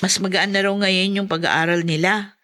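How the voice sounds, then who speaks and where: A woman's voice: mid-range voice, fairly even intonation, normal speed, a bit bright, natural voice. A woman playing a caring, practical older sister in a radio drama, with a plain, reassuring manner. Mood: reporting, respectful. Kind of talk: Filipino podcast radio drama acting.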